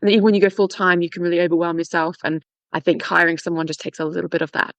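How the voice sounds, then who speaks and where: Woman, podcast host, rapid casual chat, frequent laughs, dry sound. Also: fairly low pitch, level tone, fairly bright tone, dry recording. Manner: chatty, laughs often, quick restarts.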